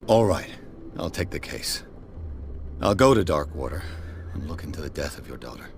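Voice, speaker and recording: An actor playing a jaded, hard-drinking private investigator in a video game, weary, terse and blunt. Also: male voice, rumbling low, big pitch swings, normal speed, exaggerated voice. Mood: resolved. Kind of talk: video game voice acting, noir detective drama. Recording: clear background noise under dialogue.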